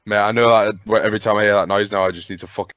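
A young man with a Yorkshire accent, swearing and laughing loudly as he chats while gaming. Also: on the low side, level tone, fast talker, no room sound. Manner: loud, swearing, bursts of laughter. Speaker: gamer. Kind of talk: casual gaming chat.

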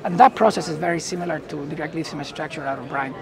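A middle-aged man from Chile with a Chilean Spanish accent, answering interview questions as a company executive in halting phrases. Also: on the high side, gravelly. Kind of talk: unscripted business interview. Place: indoor booth at a trade conference. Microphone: lapel mic. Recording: busy event hall chatter behind.

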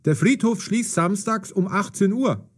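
A man playing a brisk working man in an audio story, speaking in clearly enunciated lines. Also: sits high. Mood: helpful. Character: taxi driver and cemetery guard, brisk adult man. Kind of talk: scripted audio story for language learners.

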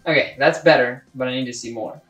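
A man's voice: medium pitch, a little fast, bright, forceful tone, soft background music, natural voice. A young man playing an impatient, unimpressed job interviewer in a comedy skit, dry and exasperated, in a roomy lounge. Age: young adult. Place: indoor lounge with leather seats.

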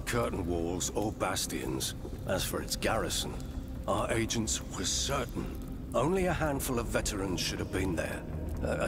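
A deep-voiced, grave military officer. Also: male voice, noisy background. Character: defeated French army officer, proud, grave military man. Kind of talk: dramatic video game cutscene acting. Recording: game music behind the voice.

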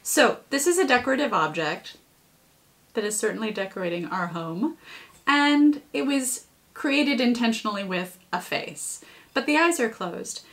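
A woman in her thirties presenting a demonstration with a co-host, with deliberate, measured phrasing and slightly theatrical touches. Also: a little high, animated, fairly bright tone. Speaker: puppetry presenter. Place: home kitchen.

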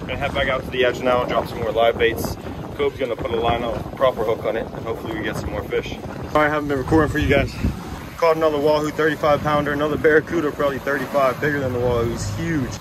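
A young man talking casually and excitedly while filming a fishing trip on a boat, swearing freely. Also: medium pitch, fast talker. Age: young adult. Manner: excited, swears casually, calls people bro. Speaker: fishing vlogger. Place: open boat at sea, outdoors. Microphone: handheld phone camera. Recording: loud wind and boat noise.

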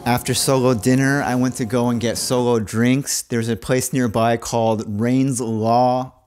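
Vlogger, male, 30s, mid-pitched, chatty and informal, soft music under, small apartment room. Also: level tone, unhurried. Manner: chatty, addresses viewers as "my friends". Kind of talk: casual weekly vlog chat. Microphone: handheld shotgun mic on boom cable.

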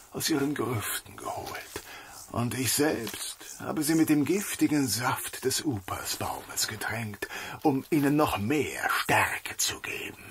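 An actor playing a fat, talkative master thief in a fantasy audio drama, theatrical and conspiratorial, often close to a whisper. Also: male voice, medium pitch, vocal fry, fairly bright tone, husky, exaggerated voice. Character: Taurus, fat talkative male master thief, sly and worldly.

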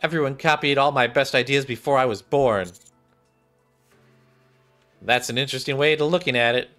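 Young male game streamer, mid-pitched voice, read-aloud of story dialogue in a small room, game music behind. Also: normal speed, bright, forceful tone.

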